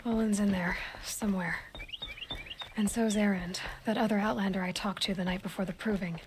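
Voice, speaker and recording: A woman doing a resolute young huntress who speaks in short, firm sentences and never backs down. Her voice sits in a middle range for a woman and stays steady and commanding. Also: medium pitch, fairly quick, noisy background, natural voice. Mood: brooding. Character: determined young woman hunter seeking answers. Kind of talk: video game cutscene acting.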